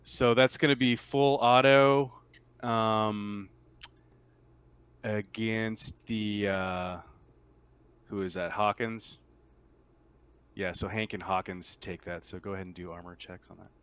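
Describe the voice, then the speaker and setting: A man's voice: fairly low pitch, steady tone, slow, long pauses, a bit bright, clean tone. A man acting as game master, casually running and narrating a tabletop roleplaying game.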